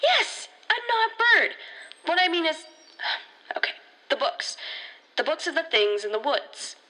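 A woman's voice: extremely high pitch, sing-song, unhurried, pushed and bright, heavily acted, rough recording. A woman playing an anxious, defensive teenage rookie ranger in an audio drama, insistent and sarcastic. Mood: defensive, incredulous.

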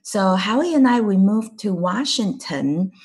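A woman's voice: mid-range voice, lively intonation, unhurried, a little mellow, slightly rough. A middle-aged woman from Taiwan, a native Chinese speaker, chatting as a guest and telling her story with a laugh now and then. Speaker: guest, clinic co-owner. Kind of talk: casual interview chat.

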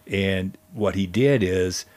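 A man telling stories conversationally as a guest, speaking in a halting way with frequent pauses. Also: sits low, a little mellow. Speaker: guest storyteller and history teacher. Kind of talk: conversational storytelling.

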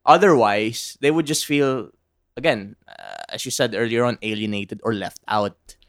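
A man with a Filipino English accent in a casual chat, agreeable and quick with short replies. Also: mid-range voice, smooth, no room sound, studio quality. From Philippines.

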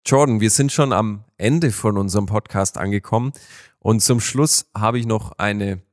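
A man's voice: on the low side, normal speed, dry recording, clean studio sound. A man hosting a podcast interview, asking questions and giving short encouraging replies. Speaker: podcast host.

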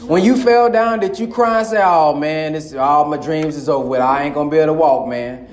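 A man's voice: on the high side, brisk, a little mellow. Impassioned preacher man in an echoey hall. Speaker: minister. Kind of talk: preaching to a live audience.

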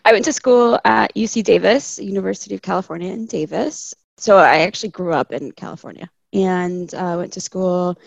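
A woman's voice: on the low side, moderate pace, gravelly, few pauses, no room sound, highs cut off. A young woman from Chile, a landscape architect, chatting casually as a guest and laughing often. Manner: chatty, laughs often, quotes people in asides. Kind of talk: casual interview conversation.

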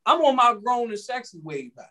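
A man's voice: very high-pitched, big pitch swings, brisk, sharp tone. A man in his late twenties talking casually on a podcast, rambling and slangy, often repeating his words. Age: 20s. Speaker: podcast co-host.

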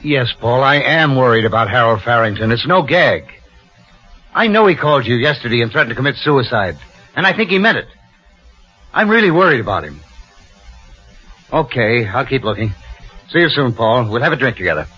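A man playing an anxious, scheming smooth talker, urgent and insistent, in an old-time radio drama. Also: mid-range voice, lively intonation, a little fast, pauses often, sharp tone, faint music behind. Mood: feigned worry. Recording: muffled old radio recording.

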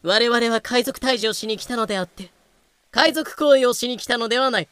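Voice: mid-range voice, a little fast, fairly bright tone, smooth, dry recording, natural voice. A performer in an anime-style audio drama playing a brash, stubborn, boastful pirate-hunting captain with a bossy, blustering delivery. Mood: commanding.

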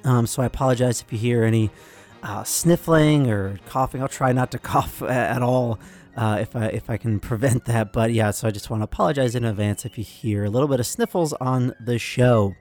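A young man hosts a news podcast in a casual, rambling way, with a voice gone hoarse from a cold. He talks at a middling pitch, often pausing on fillers, and faint music plays behind him. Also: darkish tone. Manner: rambling, filler-heavy, apologetic. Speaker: podcast host.

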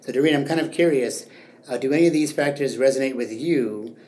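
Young guy hosting a podcast, reads his notes then asks careful questions, mid pitch. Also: brisk, a little mellow. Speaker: podcast host. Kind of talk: semi-scripted educational interview.

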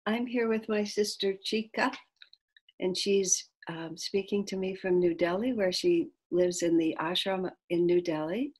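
A woman's voice: medium pitch, a little slow, a little mellow, husky. A woman interviewing her sister, asking curious, encouraging questions in a conversational style. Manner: curious, encouraging, many back-channel replies.

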